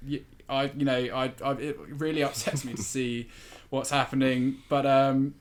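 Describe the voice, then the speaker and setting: A man's voice: mid-range voice, steady tone, normal speed, slight background noise. A man giving his opinions as a guest in a casual football podcast chat.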